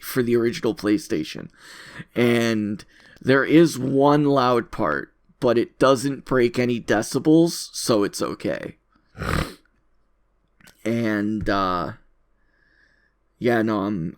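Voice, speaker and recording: A man talking casually in an unscripted audio log, rambling in a self-deprecating way and repeating his phrases. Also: lowish voice. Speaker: content creator recording an audio log.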